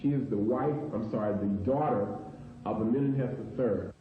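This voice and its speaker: A man giving unscripted expert commentary, halting and repeating words for emphasis. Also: mid-range voice, roomy sound. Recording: dull lo-fi audio, faint noise.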